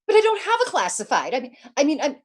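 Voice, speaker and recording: A woman's voice: high voice, big pitch swings, fast. A woman playing an anxious, demanding, self-pitying invalid wife in old-time radio suspense style, stammering nervously and repeating herself. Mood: flustered.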